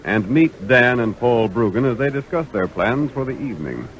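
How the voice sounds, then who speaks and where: A man's voice: mid-range voice, animated, moderate pace, rough recording. A man with an American accent narrating an old-time radio western in a dramatic, stately style. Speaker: announcer and narrator. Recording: vintage radio recording.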